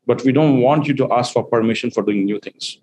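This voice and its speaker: A man explains his company's work in a business interview, fluent but full of fillers as he lays out each point. His voice sits in an ordinary middle pitch. A faint hum of room noise runs behind him. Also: brisk. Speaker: company executive guest.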